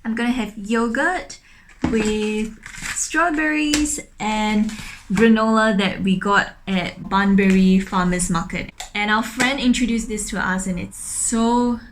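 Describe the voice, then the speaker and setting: Young Singaporean female vlogger, mid-pitched voice, casual to-camera chat, faint noise in the recording. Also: steady tone, takes it slowly. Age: young adult. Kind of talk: casual vlog talk to camera. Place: indoors in an open-plan apartment living room and kitchen.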